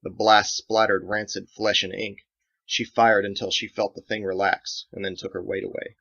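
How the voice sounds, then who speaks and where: A man's voice: fairly low pitch, flat delivery, moderate pace, fairly bright tone. A man reading his own dramatic action novel as scripted audiobook narration, in a slightly dull recording.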